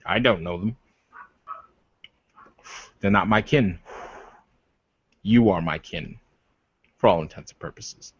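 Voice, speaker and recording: Werewolf warrior leader, played by a male gamer: protective, proud, unhurried, lowish voice, dull lo-fi sound. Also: slightly rough, heavily acted. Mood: firm, earnest. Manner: slow, emphatic, declarative speech. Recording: roomy, dull lo-fi microphone.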